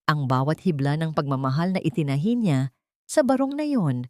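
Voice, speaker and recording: A woman narrating a scripted romantic drama in a solemn, emotive radio-drama style with deliberate phrasing. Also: deep voice, animated, a little mellow, no echo, studio quality. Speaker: story narrator.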